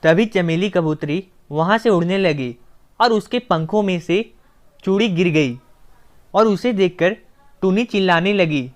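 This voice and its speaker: A woman's voice: fairly low pitch, unhurried. A woman narrator reading a scripted children's story.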